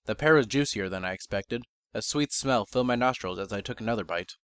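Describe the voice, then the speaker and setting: A man reading a first-person fantasy story as narrator, in a measured, bookish dramatic reading. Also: fairly low pitch, fairly bright tone, clear, resonant tone, no echo.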